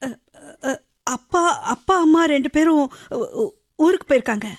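A woman's voice: high-pitched, expressive, normal speed. A woman acting a sobbing abandoned young daughter. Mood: curt. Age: young adult. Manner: sobbing, pleading and stammering. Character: young woman abandoned by her parents, desperate. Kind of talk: radio-style drama acting.

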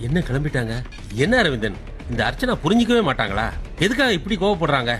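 A man's voice: a little high, very animated, fast talker, music in the background. A man playing an earnest, nature-loving friend in a scripted drama. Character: earnest male friend who loves nature.

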